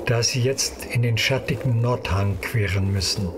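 A man's voice: mid-range voice, normal speed, very noisy, lightly acted. A man narrating an audio drama in a scripted storytelling style.

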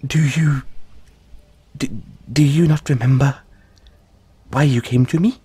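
A man playing an anxious, apologetic dollmaker in an audio roleplay, stammering and halting as he speaks to the listener. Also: medium pitch, fairly even intonation, dark tone, slightly rough, no echo. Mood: flustered, alarmed. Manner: stammering, halting, flustered, placating. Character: anxious, apologetic male dollmaker who dabbles in soul magic.

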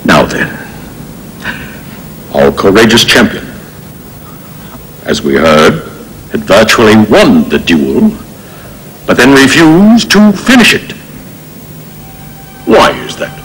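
A man's voice: fairly low pitch, wildly swooping pitch, takes it slowly, long pauses, fairly bright tone, slight background noise, full character voice, rough recording. A stern old king. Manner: solemn, commanding. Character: stern old bearded king passing judgment. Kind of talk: dubbed fantasy drama.

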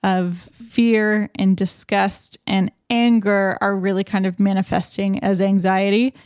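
A woman's voice: medium pitch, little pitch movement, unhurried, darkish tone, clear, resonant tone, dry recording. A young adult woman hosting a casual podcast, recapping a film in a chatty way and laughing often. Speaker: podcast host. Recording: dry close-mic podcast sound.

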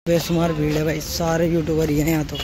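A man's voice: on the high side, completely flat delivery, quick pace, over loud music. A young man chatting casually as he vlogs his trip to a stadium, recorded outdoors on a phone. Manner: chatty, keeps calling viewers brother. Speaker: vlogger. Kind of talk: casual vlog narration. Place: outdoors on city roads and at a stadium.

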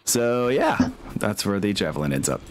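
A man talking casually as a game master, often thinking his decisions out loud. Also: fairly low pitch, sing-song, moderate pace. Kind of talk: casual tabletop game talk. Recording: music playing behind the voice. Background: background music.

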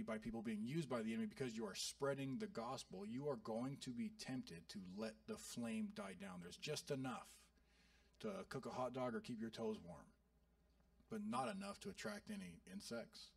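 A male pastor in his thirties giving an animated, joking sermon to his congregation. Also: fairly high pitch, gravelly, no echo, far from the mic. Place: church sanctuary.

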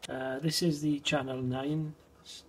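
A middle-aged British man giving a casual, unhurried and low-key hobbyist demonstration in a conversational way. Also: level tone, background noise. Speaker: hobbyist video host. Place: small indoor space, shed.

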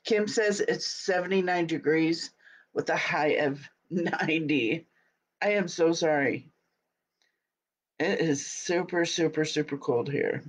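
A woman's voice: sits low, slow pace. Chatty woman with a stuffy cold. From Utah. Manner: chatty, laughs often. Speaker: host. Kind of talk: casual live video chat. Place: home office, small room indoors. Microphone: webcam at desk.

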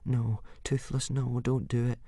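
An anxious young Viking boy in a storybook, voiced by a man. He talks softly and urgently, as if coaxing. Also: lowish voice, moderate pace, no echo, studio quality. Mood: worried. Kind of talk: audiobook character voice.

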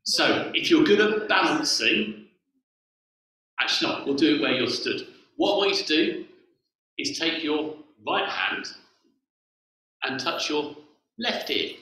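Man, bright voice, high-pitched, lively playful vicar, echoey church, picked up from across the room. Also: a little slow, long pauses, far from the mic. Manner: lively, playful, directing a congregation. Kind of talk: all-age sermon with games. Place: church hall with a lectern. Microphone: far camera on the floor.

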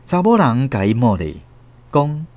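A woman with a Taiwanese accent narrating a radio story in an expressive, dramatic storytelling manner. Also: extremely low pitch, a little slow, dry recording.

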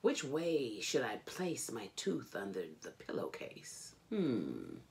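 A woman voicing a curious, excited young schoolboy who muses aloud in questions, as in a storybook. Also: extremely low pitch, slow, vocal fry, slight background noise, heavily acted. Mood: wondering. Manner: musing, questioning.

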